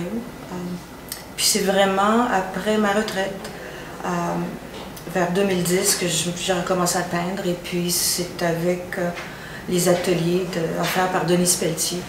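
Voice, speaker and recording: An older woman, retired, talks about painting and pauses often on fillers. Her voice sits lowish. She is heard from a distance in an echoey room with steady noise behind. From Ottawa. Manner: hesitant, with fillers.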